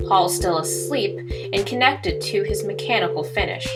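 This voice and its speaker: A woman's voice: medium pitch, moderate pace. A woman announcer reading scripted narration for an audio drama. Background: loud music.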